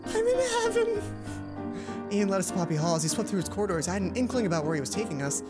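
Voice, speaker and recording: A woman's voice: lowish voice, rapid-fire. A woman hosting a let's play, reading a visual novel aloud dramatically with casual asides, music behind her.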